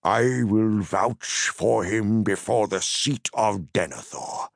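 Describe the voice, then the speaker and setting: A man voicing an ancient wise wizard who speaks gravely and commandingly in solemn, archaic, dramatic phrases. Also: fairly low pitch, takes it slowly, no room sound, voice pushed up, heavily acted. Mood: firm.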